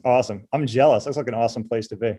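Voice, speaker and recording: A middle-aged man with a mellow, warm voice hosts a casual webinar over a video call. He talks in a relaxed, chatty way, with plenty of fillers, at a middle pitch. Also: rapid-fire, husky. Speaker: webinar host.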